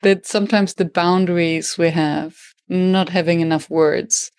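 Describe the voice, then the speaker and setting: A woman with a German accent, a native German speaker, hosting an interview in English. She talks quickly and reflectively and restarts phrases as she thinks aloud. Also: on the low side, steady tone, darkish tone, clear, resonant tone, no room sound, clean studio sound. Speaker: podcast host and facilitation trainer. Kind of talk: conversational interview.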